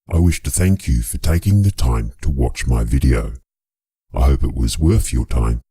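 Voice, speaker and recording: A man with a gravelly voice giving a scripted appeal to viewers as a storyteller, in a formal, wordy, drily playful manner. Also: rumbling low, warm tone, dry recording, clean studio sound. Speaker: storyteller and creator addressing viewers.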